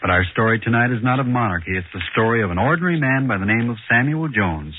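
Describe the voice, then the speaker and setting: A man's voice: mid-range voice, expressive, fast talker. A man narrating a scripted 1940s radio introduction in a solemn, portentous way. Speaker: announcer, narrator. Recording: noisy, tinny old radio recording.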